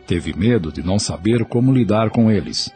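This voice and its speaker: A man's voice: on the low side, animated, moderate pace, warm tone. A man narrating an audio drama from a script. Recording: music under the voice.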